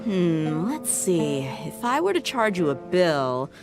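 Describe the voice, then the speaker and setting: A low-voiced, teasing mercenary. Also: female voice, sing-song, takes it slowly, exaggerated voice. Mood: playful. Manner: playful, teasing. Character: teasing young female mercenary. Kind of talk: game voice acting. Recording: game music playing loud behind.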